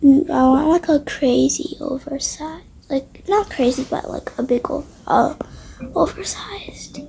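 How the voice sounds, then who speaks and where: Hushed, high-pitched young female voice, slow and creaky. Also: a little hiss or hum. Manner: hushed, hesitant, often trailing into whispers. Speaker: roleplay video creator. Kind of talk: casual improvised gameplay roleplay narration.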